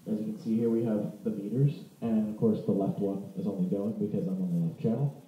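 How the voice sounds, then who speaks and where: A roomy, slightly muffled home recording of a man with a fairly low voice. He walks through a piece of gear in an informal, rambling way, correcting himself as he talks like a hobbyist vlogger. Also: steady tone, fairly quick, a little hiss or hum. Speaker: vlogger presenting vintage audio gear. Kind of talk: casual unscripted product demonstration. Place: indoor room.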